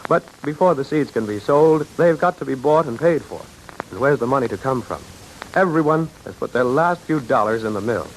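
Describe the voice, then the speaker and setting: A man announcing and narrating a 1930s radio drama with a brisk, persuasive delivery. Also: medium pitch, expressive, rough recording. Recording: old radio recording with faint hiss.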